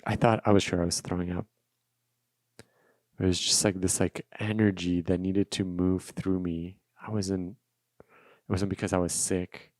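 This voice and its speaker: Deep-voiced, reflective man, close and dry. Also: little pitch movement, unhurried, long pauses, darkish tone, no room sound. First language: Russian. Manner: reflective, repeats phrases for emphasis. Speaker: podcast host. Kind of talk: unscripted solo podcast monologue, personal storytelling.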